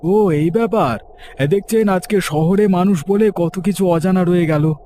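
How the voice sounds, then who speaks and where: A man voicing an easily impressed city gentleman visiting a village in a cartoon. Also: high voice, measured pace, a little hiss or hum. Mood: pleased, marvelling. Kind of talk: cartoon character dialogue.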